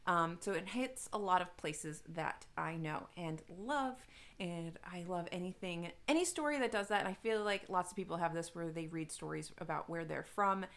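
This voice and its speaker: A woman's voice: lowish voice, normal speed, a bit bright. A woman chatting casually to viewers as a book reviewer, informal, gushing and emphatic, with some self-deprecation. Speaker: book reviewer, video host.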